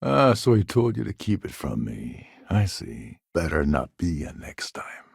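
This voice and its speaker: A man playing a roguish, wry starship captain and ex-pirate in a video game, a sardonic schemer with a gravelly, husky voice. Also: low-pitched, big pitch swings, measured pace, no room sound, studio quality, exaggerated voice. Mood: quietly bitter. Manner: sardonic, smooth-talking schemer. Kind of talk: video game character dialogue.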